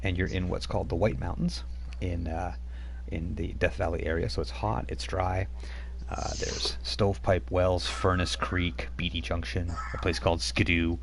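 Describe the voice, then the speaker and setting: A man's voice: sits low, flat delivery, normal speed, vocal fry, slight background noise. A man running a tabletop game as game master, setting scenes in a loose, rambling way with casual asides.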